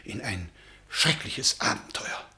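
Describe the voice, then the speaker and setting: An actor playing a naive young scholar who speaks earnestly and pleads, in a radio play. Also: male voice, slow pace, pushed and bright. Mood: anxious.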